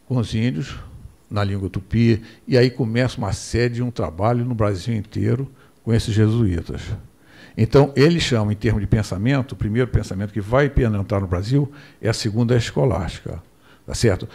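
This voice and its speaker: Older man lecturing at a lectern, gravelly. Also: mid-range voice, darkish tone. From Brazil. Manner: measured, explanatory, asks rhetorical questions, asks for the next slide. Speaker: guest lecturer. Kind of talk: history lecture to an audience. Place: lecture hall with projector screen, indoors. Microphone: lectern microphone.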